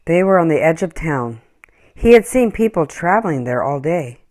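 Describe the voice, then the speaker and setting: A woman reading a children's story aloud in an expressive, acted-out storytelling style. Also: deep voice, normal speed. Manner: animated, acts out dialogue and animal sounds. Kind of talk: expressive scripted storybook narration.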